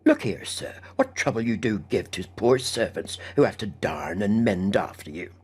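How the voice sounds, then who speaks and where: Male reader as servant woman, storybook housekeeper, fussy, folksy grammar, emphatic, lively pitch, slightly husky. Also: moderate pace. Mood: irritable. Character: fussy, scolding, superstitious working-class housekeeper woman.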